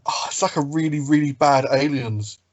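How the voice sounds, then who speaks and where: A man's voice: fairly high pitch, moderate pace, no room sound, lo-fi sound. A man bantering casually about films as a podcast co-host.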